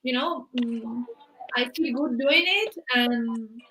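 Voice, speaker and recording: Bright-voiced woman co-host. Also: fairly high pitch, a little hiss or hum, poor audio. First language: Spanish. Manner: relaxed, laughs easily, translates in quick summaries. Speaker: co-host and interpreter. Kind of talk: casual live chat, switching to translate.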